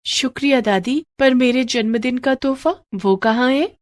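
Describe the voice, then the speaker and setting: A woman voicing an innocent fifteen-year-old girl in an audio story, with an emotional, halting delivery. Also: sits high, pitched above the performer's own voice. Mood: eager. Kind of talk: dramatic audio story acting.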